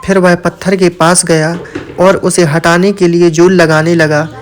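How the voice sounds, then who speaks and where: A man's voice: high voice, flat delivery, a little slow. A man narrating a scripted moral tale as a storyteller.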